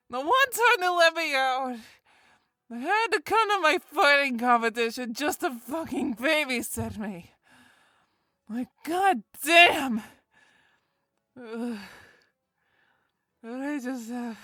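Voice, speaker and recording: A woman's voice: high voice, sharp tone, dry recording, studio quality, full character voice. A woman playing a bitter, put-upon daughter in a rambling comic monologue, exasperated in manner.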